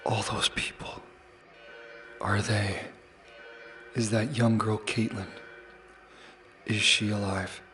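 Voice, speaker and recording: A man playing a brooding human rebel in a sci-fi audio drama, talking low and hushed. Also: level tone, slow, long pauses, faint music behind. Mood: urgent, questioning. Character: tense young man, human rebel guiding fugitive androids.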